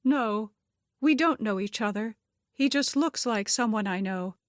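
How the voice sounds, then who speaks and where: A woman's voice: on the high side, measured pace, dry recording, clean studio sound, pitched above the performer's own voice, close to an everyday voice. A woman voicing a startled, hurt and guarded younger woman in an audiobook, apologetic and clipped in manner.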